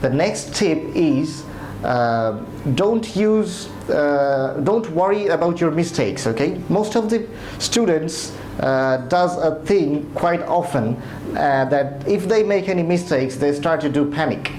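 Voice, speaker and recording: A man giving exam speaking tips as a tutor, often pausing on "uh" and restarting his sentences. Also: a little high, lively intonation, slight background noise. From Bangladesh. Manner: hesitant, many "uh" fillers and restarts. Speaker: IELTS tutor. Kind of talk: spoken exam tips lesson. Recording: roomy echo, soft music behind.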